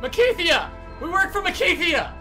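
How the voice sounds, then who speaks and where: A woman's voice: very high-pitched, big pitch swings, normal speed, over music. A woman playing a tortured cyborg prisoner, panicked and screaming in agony in an audio drama. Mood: agonized panic. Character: tortured cyborg captive, panicked, pleading, defiant.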